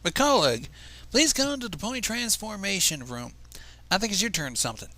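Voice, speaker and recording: A man's voice: high-pitched, sing-song, normal speed, sharp tone, voice pushed up, heavily acted. A man voicing a flustered, folksy pony announcer with an American Southern drawl in a story reading.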